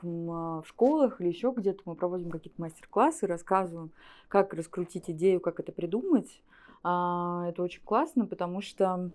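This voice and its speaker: A woman's voice: on the low side, a little mellow. A woman speaking as a studio guest in an interview, explaining things conversationally with examples.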